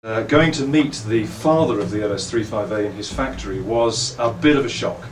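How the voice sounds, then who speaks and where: A middle-aged man with an English accent, giving reflective, articulate interview answers in measured phrases and telling anecdotes as a company owner and designer. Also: lowish voice. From England. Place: indoors at a trade show. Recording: roomy, distant mic, faint background noise.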